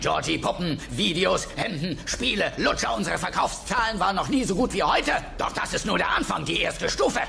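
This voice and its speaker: Fake jolly scheming tv mascot villain, high swoopy voice, theatrical, music behind. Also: male voice, expressive, moderate pace, vocal fry, hardly any pauses, sharp tone, exaggerated voice. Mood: menacing, gloating. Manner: gushing, theatrical, gloating. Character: fake-jolly scheming children's TV star, greedy gloating villain. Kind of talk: German children's radio play acting.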